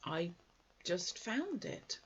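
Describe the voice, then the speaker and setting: A woman voicing a simple, gentle male teddy bear who speaks softly and hesitantly. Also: lowish voice, big pitch swings, extremely slow, breathy edge, exaggerated voice. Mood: mild. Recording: dull lo-fi recording.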